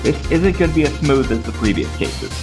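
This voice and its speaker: A man gives casual live commentary on a game stream. His mid-pitched voice moves around a lot, and loud music plays behind him. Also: very animated, a little fast. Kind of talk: casual live gameplay commentary, reading aloud.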